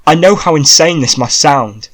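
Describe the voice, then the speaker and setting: A man's voice: on the high side, animated, normal speed, pitched above the performer's own voice. A man voicing a desperate, devoted king and husband, urgent and earnest, in an audiobook drama. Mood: insistent.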